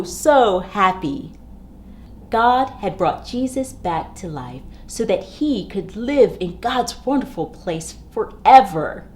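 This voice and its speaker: Female storybook reader for young children: expressive and emphatic, highly varied intonation, measured pace. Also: a little hiss or hum. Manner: emphatic, dramatic phrasing in simple sentences for children.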